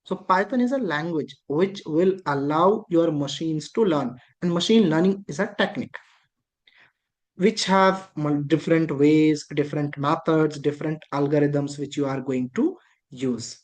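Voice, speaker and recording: Instructor, patient and repetitive, mid pitch. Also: a little slow. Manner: patient, repetitive explaining with frequent rhetorical questions. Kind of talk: explaining a lesson.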